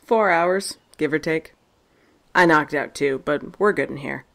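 A woman's voice: low-pitched, a little slow, creaky, fairly bright tone, full character voice. A woman playing Tessa, a tough, sarcastic and profane survivor, in a fan audio drama. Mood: confrontational.

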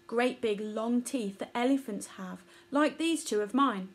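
A woman voicing a gentle, wise mother elephant in a children's storybook, explaining things solemnly. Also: fairly high pitch, measured pace.

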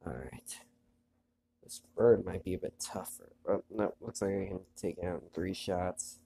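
A man narrates his play of a mobile game in an easygoing, chatty way, his voice thick with a stuffy nose. When story text comes up, he reads it aloud softly and lowers his voice. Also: normal speed, creaky, slightly rough. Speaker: let's play host. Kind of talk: casual gameplay commentary, reading on-screen text aloud.

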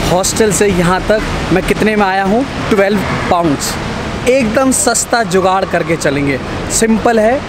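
A young man vlogging his trip to the camera in a casual, enthusiastic tone, talking in Hindi mixed with English while he walks through streets, rides a bus and crosses an airport terminal. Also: high voice. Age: young adult. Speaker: travel vlogger. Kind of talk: casual travel vlog. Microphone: handheld camera at arm's length. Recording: loud background noise of street and terminal.

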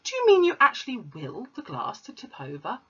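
A woman voicing a gentle, kindly young schoolteacher in a storybook, speaking softly and patiently. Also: fairly high pitch, huge pitch swings, fairly quick, slightly rough, voice pushed up, full character voice. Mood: puzzled. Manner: soft and patient. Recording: slightly muffled recording.

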